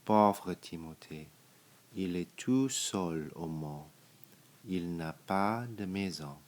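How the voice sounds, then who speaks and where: A man reading a children's picture book aloud, in deliberate, simple phrases with pauses. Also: sits low, a little mellow. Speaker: storybook reader.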